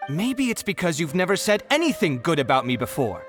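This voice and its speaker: A man's voice: on the high side, sing-song, a little fast, faint music behind. An actor playing a proud, easily riled young male architect in a video game, theatrical and exasperated.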